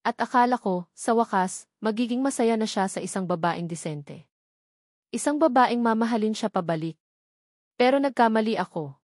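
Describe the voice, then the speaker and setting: Babaeng gumaganap bilang mapait at galit na matandang ina. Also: female voice, a little high, animated, moderate pace, pauses often, darkish tone, no echo, clean studio sound. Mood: hurt, pleading anger. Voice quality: hard, trembling voice. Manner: accusing, reproachful. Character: bitter, angry elderly mother defending her wronged son.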